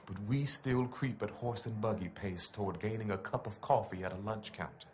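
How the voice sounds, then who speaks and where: A man's voice: on the low side, normal speed, slight background noise. A man giving a dramatic recitation of a written letter in an oratorical, impassioned manner with emphatic phrasing.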